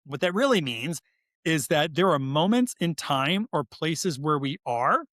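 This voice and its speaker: A man's voice: on the high side, animated, measured pace, a bit bright, no echo, studio quality. A man speaking as a guest expert on a podcast, explaining things conversationally in an emphatic, informal way and repeating words for stress. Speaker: guest expert, author and behavioral scientist.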